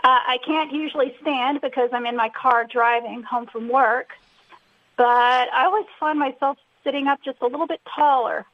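A woman calling a radio show from her car, speaking in a warm, earnest way. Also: high voice, steady tone, normal speed. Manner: warm, devoted, gently earnest. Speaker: caller. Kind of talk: radio call-in. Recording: muffled phone line.

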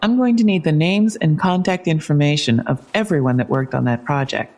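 A woman's voice: deep voice, fairly quick, darkish tone. A woman playing a persistent, sardonic police detective in an audio drama, questioning in a pointed, pressing way. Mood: insistent.

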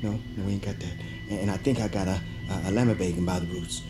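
An actor playing a plain-spoken, loyal country man with a Southern accent and a halting, folksy way of talking. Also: sits low, fairly even intonation, music in the background, lightly acted. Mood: hushed, concerned.

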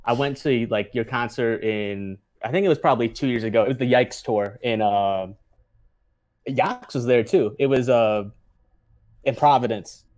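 A man's voice: mid-range voice, moderate pace, darkish tone. A man from the American Midwest, chatting hesitantly as a podcast guest and filling his talk with "like". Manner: hesitant, heavy use of "like". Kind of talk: casual chat.

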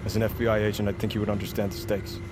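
An actor playing a cold, self-justifying government agent in an audio drama, officious and defensive. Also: male voice, lowish voice, level tone, very fast, heavy background noise, close to an everyday voice. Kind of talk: audio fiction acting. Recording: clear dramatic music bed.

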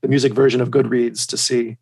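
A man with a general American accent, chatting casually as a podcast guest in a hesitant, halting way. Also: mid-range voice, flat delivery, a little mellow, breathy edge, poor audio. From United States and Germany. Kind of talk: casual chat.

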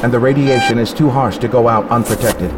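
An actor playing a spacesuit computer in an audio drama, coldly logical and polite, speaking in a measured, clinical, literal-minded way. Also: male voice, medium pitch, background noise, close to an everyday voice. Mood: detached, questioning.

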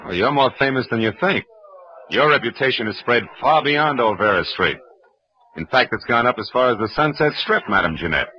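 A man's voice: medium pitch, expressive, a little fast, slight background noise, natural voice. A man with an American accent playing a wry private detective in 1940s radio style, with dry, sardonic delivery. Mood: smooth, teasing. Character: wry, hard-boiled male private detective. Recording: dull restored old radio recording.

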